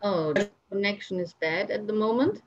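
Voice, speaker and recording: A woman's voice: medium pitch, moderate pace. A woman hosting an online panel, speaking casually over a video call. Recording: muffled internet video call.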